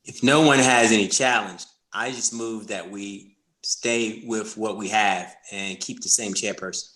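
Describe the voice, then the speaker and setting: A man's voice: lowish voice, steady tone, measured pace, sharp tone, low-quality recording. A man who is a board member, speaking in a formal meeting over a video call.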